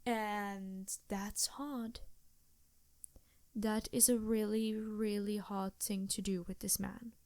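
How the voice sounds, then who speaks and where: Woman as scheming succubus ally for intimate ASMR roleplay: soft-spoken, seductive, unhurried, very close and dry sound. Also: mid-range voice, flat delivery, no room sound. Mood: pensive. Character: scheming female succubus demon, seductive, reluctant but loyal ally.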